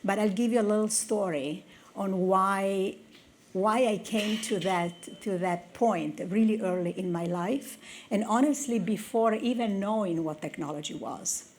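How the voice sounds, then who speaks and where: A woman's voice: mid-range voice, measured pace, darkish tone, slight background noise. A woman from Italy with an Italian accent, a tech executive giving an earnest conference talk with personal stories. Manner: earnest, storytelling, with restarts. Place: conference hall with audience.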